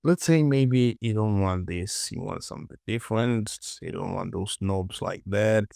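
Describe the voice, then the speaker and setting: Male tutorial instructor, low and unhurried, informal short phrases, close dry recording. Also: vocal fry, a little mellow, no echo. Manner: informal, muttered short instructions to self and viewer. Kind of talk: casual screen-recorded software tutorial, talking while working.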